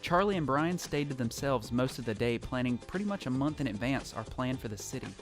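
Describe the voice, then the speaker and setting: A man's voice: mid-range voice, animated, fairly quick, music in the background, lightly acted. A man playing a lonely, reflective survivor who narrates his post-apocalyptic journey in a scripted audio drama.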